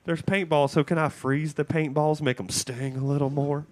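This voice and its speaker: A man's voice: on the high side, steady tone, normal speed, natural voice. A man voicing a fed-up wife who is casually and sweetly morbid, on an improvised prank call. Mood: deadpan scheming.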